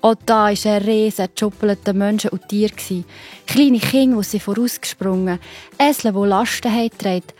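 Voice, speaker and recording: A woman's voice: medium pitch, steady tone, moderate pace, a little mellow. A woman with a Swiss German accent telling a story to children, explaining it simply and expressively. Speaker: narrator. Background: music.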